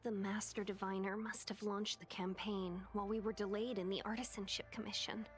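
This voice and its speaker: A woman voicing a composed, knowing young guide in a video game, polite and measured. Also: mid-range voice, level tone, faint music behind, close to an everyday voice. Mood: solemn.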